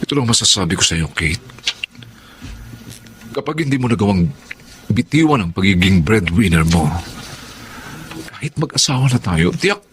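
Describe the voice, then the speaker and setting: A man's voice: on the low side, very animated, moderate pace, long pauses, fairly bright tone, a little hiss or hum. An actor playing a frustrated fiancé in his thirties who firmly presses his partner to choose. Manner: firm, pressing. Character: Romel, frustrated fiancé demanding a decision.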